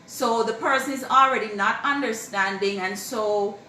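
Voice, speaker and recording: A woman's voice: on the high side, moderate pace, bright, forceful tone. Woman, co-host, chatty and emphatic, circles back to her points; distant mic in a roomy space. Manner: conversational and emphatic, repeats points. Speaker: co-host, married partner. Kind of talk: casual chat on marriage advice. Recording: roomy echo, distant mic, faint noise.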